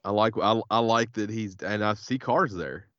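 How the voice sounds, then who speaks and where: A man's voice: on the low side, unhurried, fairly bright tone, no echo, dull audio. A man hosting a podcast in a laid-back, joking chat while fighting a head cold. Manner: laid-back, joking, says "hell yeah" often. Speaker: podcast host. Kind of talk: casual chat.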